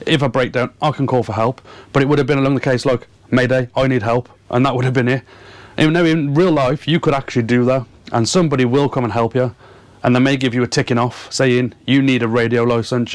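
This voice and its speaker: A man with a mid-pitched voice talks to his viewers in a casual, explanatory vlog style. He is earnest and emphatic. He often repeats a word several times to drive his point home. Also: dry recording. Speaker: fishing and boating vlogger.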